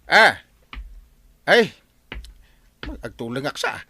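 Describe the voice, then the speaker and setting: Comedy radio drama: excitable villager, quick to banter and exclaim. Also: male voice, mid-range voice, a little hiss or hum, heavily acted. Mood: flustered.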